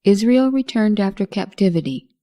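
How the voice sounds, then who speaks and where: A woman's voice: medium pitch, expressive, moderate pace, dark tone. A woman reading a scripted narration of Bible history as a narrator.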